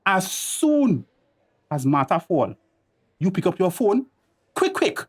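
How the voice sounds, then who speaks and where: A man's voice: sits high, big pitch swings, takes it slowly, slightly rough, heavily acted. Radio drama role: touchy, combative Tobagonian common-law husband played by a man, defensive and indignant. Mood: furious. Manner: combative, Creole phrasing.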